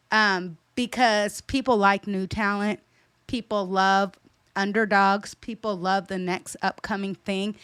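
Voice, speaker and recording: Young woman, stylist guest, smooth clear voice, direct and emphatic. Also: medium pitch, fairly bright tone, dry recording. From California. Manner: emphatic, repeats key points, direct. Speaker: stylist and boutique owner, guest. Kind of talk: interview answers, advice.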